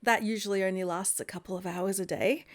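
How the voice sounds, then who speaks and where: A woman's voice: medium pitch, steady tone, a little fast. Giggly upbeat woman podcaster. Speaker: podcast co-host. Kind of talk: casual podcast chat. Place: home studio. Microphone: home podcast mic over video call.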